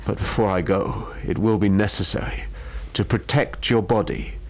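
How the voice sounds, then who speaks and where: A man's voice: lowish voice, steady tone, normal speed, close to an everyday voice. A man voicing a grave, protective temple priest who speaks in solemn warnings, in a ghost story. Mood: grave concern.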